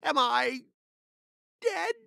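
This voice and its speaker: Self-absorbed young heir for a game; dramatic, animated, sharp and forceful. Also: male voice, very high voice, drawn-out words, vocal fry, no room sound, clean studio sound, exaggerated voice. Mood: baffled, panicked. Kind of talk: video game voice acting.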